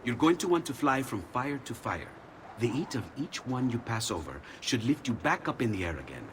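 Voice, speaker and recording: An actor playing an excitable, earnest Renaissance inventor with an Italian accent in a video game. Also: male voice, medium pitch, moderate pace, background noise, lightly acted. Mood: eager, instructive. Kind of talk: video game cutscene acting. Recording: hall reverb, loud music behind.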